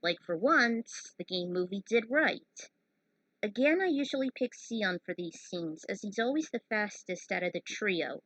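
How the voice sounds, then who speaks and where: A woman's voice: medium pitch, expressive, normal speed, fairly bright tone, no echo, poor audio. A woman in her thirties giving casual, opinionated commentary on her own fan fiction as author and narrator. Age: 30s.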